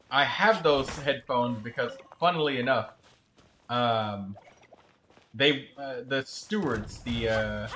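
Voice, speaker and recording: Bright-voiced man rambling on a stream. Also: mid-range voice, a little hiss or hum. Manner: rambling, halting storytelling. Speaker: game streamer. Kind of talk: casual livestream chat.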